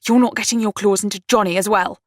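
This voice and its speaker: A woman voicing a fiercely protective, sharp-tempered young woman in an audiobook. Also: mid-range voice, steady tone, normal speed, gravelly, slightly rough, no room sound, studio quality. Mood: hostile, accusing. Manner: cooing to her brother, snapping at rivals.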